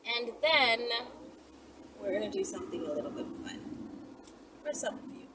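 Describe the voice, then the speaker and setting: A woman's voice: medium pitch, sing-song, normal speed. A woman vlogger chatting casually and playfully to her followers, rambling and emphatic, often checking in with "right?". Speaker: vlogger answering viewer questions. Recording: noisy vehicle ambience, distant mic.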